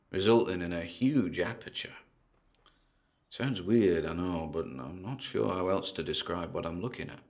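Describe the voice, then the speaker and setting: An actor playing an uneasy paranormal investigator who haltingly narrates his tape log in a horror audio drama, with a gravelly voice. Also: low voice, exaggerated voice. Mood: tense, descriptive. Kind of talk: horror audio drama, first-person found-tape monologue.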